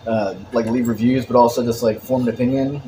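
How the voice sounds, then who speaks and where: A man's voice: mid-range voice, steady tone, a little fast, background noise. A man in casual chat, talking in a rambling way with lots of "like" and restarts.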